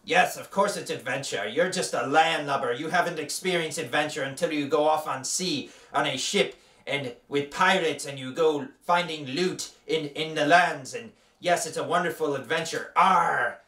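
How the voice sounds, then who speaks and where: A man's voice: a little high, normal speed, hardly any pauses, bright, forceful tone, recorded from a distance. A man voicing a boastful, threatening male pirate captain who keeps growling "arr", recorded in an echoey room away from the mic.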